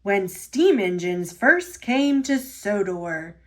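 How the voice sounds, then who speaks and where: A woman voicing a stately male railway boss in a children's storybook, measured, formal and authoritative. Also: medium pitch, big pitch swings, fairly bright tone. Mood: solemn, proud.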